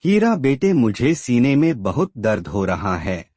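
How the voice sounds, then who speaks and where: A man playing an ailing, gentle old father in a cartoon moral story, earnest and emotive. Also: mid-range voice, sing-song, unhurried, no room sound. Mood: pained, pleading.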